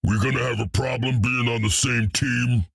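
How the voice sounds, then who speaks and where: Gravelly hulking green brute. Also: male voice, mid-range voice, steady tone, moderate pace, a bit bright, no room sound, clean studio sound. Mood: gruff banter. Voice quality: gravelly, husky. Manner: speaks of himself in the third person. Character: hulking green brute, cocky, gruff, blunt, proud of strength. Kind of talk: video game character dialogue.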